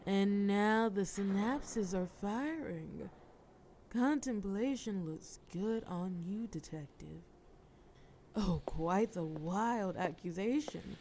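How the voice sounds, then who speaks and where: A woman's voice: medium pitch, slow pace, a little mellow, slight background noise. A woman playing a sly, flirtatious mafia boss in an audio roleplay, with a wry, teasing, formal way of talking. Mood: smug, coaxing. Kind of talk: scripted audio roleplay acting.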